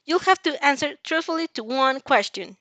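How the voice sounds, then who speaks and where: A woman voicing a bubbly, affectionate teenage girl in a fan story reading, gushing and chirping with excitement. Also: high-pitched, normal speed, dry recording, pitched above the performer's own voice. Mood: earnest, excited.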